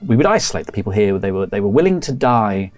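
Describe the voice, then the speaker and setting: A man's voice: lowish voice, sing-song, fast, a little mellow. A man voicing a polite, evasive male village librarian who rambles with many fillers and restarts.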